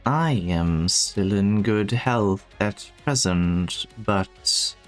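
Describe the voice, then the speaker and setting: A man playing a grave, measured character in a fantasy game fan dub, speaking in a halting, solemn way. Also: fairly low pitch. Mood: grave, foreboding. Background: background music.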